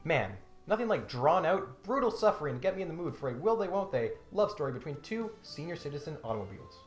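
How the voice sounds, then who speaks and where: A man's voice: on the high side, big pitch swings, fast. A man delivering scripted comedic commentary in an emphatic, mock-serious way. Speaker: video essay host. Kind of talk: scripted comedic commentary with dramatic read-aloud passages. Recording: roomy echo, music behind.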